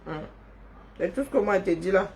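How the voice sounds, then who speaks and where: A woman's voice: mid-range voice, lively intonation, fairly quick, a little mellow. A woman giving mocking, scolding commentary straight into her phone or webcam on a livestream. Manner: sarcastic, scolding, teasing. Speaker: online commentator. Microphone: webcam or phone on a screen.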